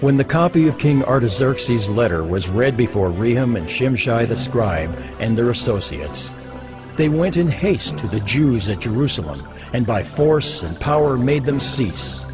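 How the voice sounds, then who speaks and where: Male narrator for audio scripture, mid-range pitch, very varied intonation, music bed clearly underneath. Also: sing-song, unhurried. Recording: music behind the voice.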